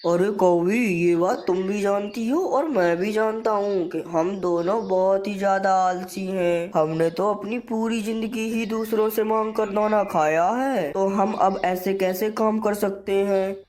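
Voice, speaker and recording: A woman's voice: medium pitch, fairly even intonation, unhurried, hardly any pauses, a little mellow, natural voice. A woman voicing a lazy, gluttonous male crow husband in a cartoon, complaining and justifying himself. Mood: accusing. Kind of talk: Hindi cartoon dialogue.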